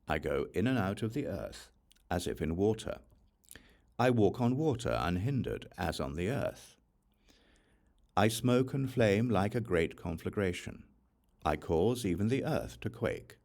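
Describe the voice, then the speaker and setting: A man reads a sacred text aloud as an audiobook, in measured, solemn phrases with deliberate pauses. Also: low-pitched, very animated, creaky, darkish tone. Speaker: audiobook reader of scripture. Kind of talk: scripted reading of a Buddhist sutra.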